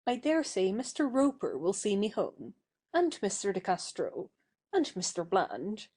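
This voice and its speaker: A woman's voice: medium pitch, sing-song, measured pace, dark tone, breathy edge. A woman playing a proud, impulsive young society woman with a theatrical, changeable manner in an audio stage play. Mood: haughty, stern.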